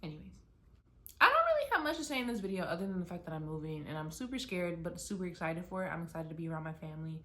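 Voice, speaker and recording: Young American woman, lowish voice, casual vlogger who rambles and restarts a lot. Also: sing-song, rapid-fire, fairly bright tone. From United States. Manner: chatty, rambling, many "like" fillers and restarts. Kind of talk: casual rambling chat to camera. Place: her apartment, mid-packing.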